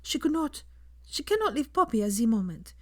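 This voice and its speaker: Woman voicing an emotional, high-pitched, pleading young wife. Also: very animated, dry recording, studio quality. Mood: pleading, gentle. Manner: halting, formal phrasing without contractions, breaks off mid-sentence. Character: emotional, guilt-ridden young married woman, earnest and pleading.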